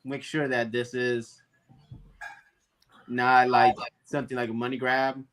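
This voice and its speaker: A man from Puerto Rico, talking earnestly in a casual livestream chat, halting with fillers. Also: mid-range voice, little pitch movement, a crowd in the background. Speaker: comic co-creator.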